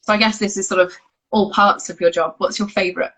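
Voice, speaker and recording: A woman's voice: mid-range voice, fairly even intonation, fast, fairly bright tone, low-quality recording. A woman hosting a live online Q&A, friendly and encouraging, often saying "yeah, yeah". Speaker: interview host. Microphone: video call.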